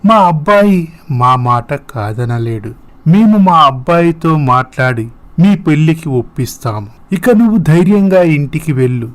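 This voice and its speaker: A man voicing a kindly middle-aged father who gives measured, reassuring advice in a scripted moral story. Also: a little high, animated.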